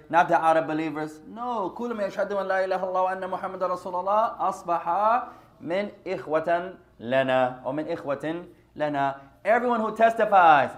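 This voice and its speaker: A man giving an informal religious lesson, talking straight to his audience and mixing in Arabic phrases. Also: high-pitched. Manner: conversational, mixes Arabic phrases, addresses audience as brothers. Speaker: Islamic teacher.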